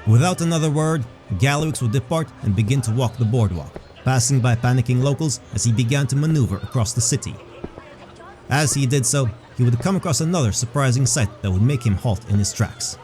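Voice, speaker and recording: A man reads scripted fantasy narration. His voice is mid-pitched, dark and mellow, and he describes things in a measured storyteller's way. Faint music plays behind him. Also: expressive.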